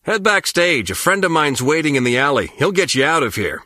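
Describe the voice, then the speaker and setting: A man's voice: a little high, sing-song, fairly quick, bright, forceful tone, no echo, clean studio sound. An actor playing a cocky, swaggering male secret agent in a video game, brash and breezy. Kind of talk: video game character dialogue.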